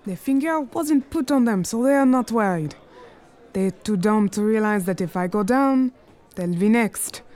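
A woman playing a proud, commanding businesswoman in 1920s Harlem, imperious and cutting, speaking with slow, deliberate weight. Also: mid-range voice, animated, a little mellow, close to an everyday voice. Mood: haughty commanding. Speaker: lead character. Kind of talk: 1920s period drama acting.

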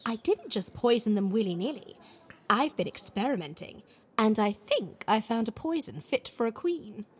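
A woman's voice: on the high side, very animated, normal speed, slightly rough. An actress playing a vain, playful and imperious scheming queen in a comic audio drama, with a theatrical, haughty, flirtatious manner. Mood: sly, pleased.

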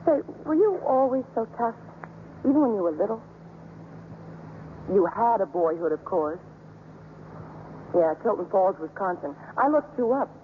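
An actress playing a brisk, chatty, opinionated newspaper columnist in a 1950s radio drama. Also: female voice, medium pitch, lively intonation, a little hiss or hum, natural voice. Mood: fussy, nosy. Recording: muffled 1950s radio broadcast, music behind.

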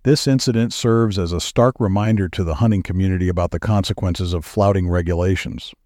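A man reading news stories as a podcast producer, with a measured, even newsreader delivery. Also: deep voice, no echo, studio quality.

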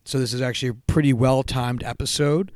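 A man co-hosting a casual podcast, hoarse-voiced, telling stories animatedly and swearing freely. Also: mid-range voice, steady tone, brisk, darkish tone, no room sound, clean studio sound. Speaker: podcast co-host. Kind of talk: casual chat.

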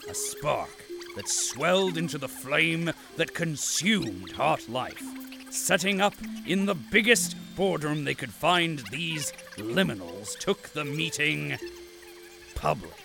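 A pompous, disdainful radio-drama narrator. His delivery is slow and theatrical, with a creaky edge and big swings of pitch. Music plays behind him. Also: very animated, exaggerated voice. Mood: ominous, scornful. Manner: grandiose, drawn-out, disdainful. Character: pompous indignant male announcer narrating the tale. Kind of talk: theatrical scripted narration to a listener.

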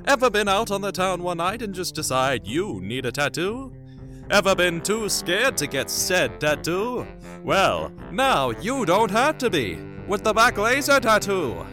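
A man voicing a peppy, pushy pitchman in a mock commercial.

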